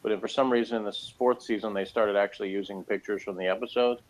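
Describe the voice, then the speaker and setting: A man's voice: on the low side, fairly even intonation, fast, poor audio. A man giving a casual review in conversation, blunt and dryly sarcastic, rambling as he talks. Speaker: guest reviewer of a TV episode.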